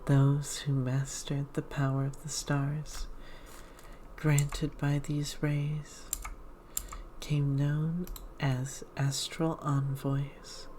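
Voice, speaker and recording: A woman speaking in a hushed, measured ASMR style, slipping into whispers as she reads a game aloud. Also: low voice, little pitch movement, slight background noise, poor audio. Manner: hushed, slow, measured reading with whispered passages. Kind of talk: ASMR, whispered and soft-spoken game read-through.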